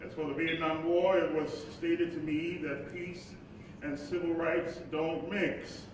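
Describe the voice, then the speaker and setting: An actor playing the solemn spirit of a civil rights preacher in a staged reading, with measured, weighty oratory. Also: male voice, on the high side, little pitch movement, distant mic, lightly acted. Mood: solemn, resolute. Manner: measured, deliberate, weighty phrasing. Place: live stage in a hall. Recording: very echoey, noisy hall recording from afar.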